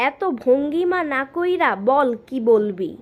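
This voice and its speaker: Lady doing bangladeshi cartoon mom, high voice, snappy n sarcastic, always fed up. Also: expressive, takes it slowly, muffled recording, slight background noise. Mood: scolding. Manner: scolding, curt, sarcastic. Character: impatient, sarcastic cartoon mother brushing off her child. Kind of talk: comic cartoon dialogue.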